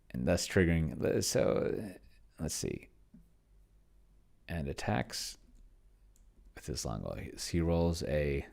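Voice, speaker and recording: Male, very low creaky voice, rambling solo gamer narrating his screen and dictating what he types, keyboard clicking. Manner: rambling, muttering to himself, slowly reading aloud as he types. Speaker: solo tabletop role-player demonstrating a game. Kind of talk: casual think-aloud screen commentary.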